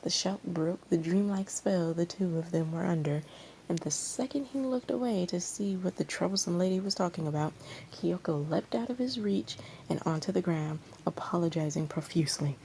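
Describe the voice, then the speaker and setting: Mellow, creaky woman reading a story aloud. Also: on the low side, moderate pace, poor audio. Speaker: fan fiction reader. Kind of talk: expressive story narration. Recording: amateur recording with background noise.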